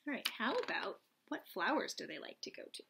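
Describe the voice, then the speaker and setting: A young adult woman reading aloud and explaining gently, as a teacher does for young children. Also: on the high side, big pitch swings, brisk, vocal fry, slight background noise. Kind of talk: children's read-aloud and lesson. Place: small lamp-lit room at home, indoors.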